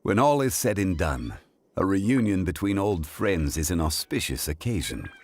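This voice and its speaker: A man playing an ancient, composed god of contracts in a video game, speaking with formal, measured eloquence. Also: deep voice, sing-song, heavily acted. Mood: solemn, calm. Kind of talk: scripted video game dialogue. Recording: game audio with music behind. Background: background music.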